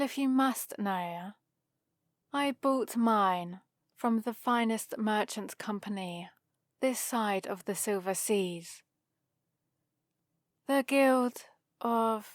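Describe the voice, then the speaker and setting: A woman's voice: medium pitch, slow pace, pauses often, no room sound, lightly acted. A woman playing a haughty, sarcastic centuries-old vampire librarian in a scripted ASMR roleplay, with a dry, theatrical delivery. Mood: bemused, sarcastic.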